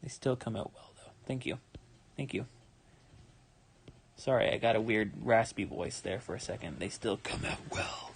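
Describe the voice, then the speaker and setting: A tired young man with a relaxed, rambling manner, talking casually with many fillers as he draws live and explains his steps. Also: lowish voice, normal speed, creaky, long pauses, slight background noise. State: tired, yawning. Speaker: manga artist streaming his drawing. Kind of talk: casual livestream commentary while drawing.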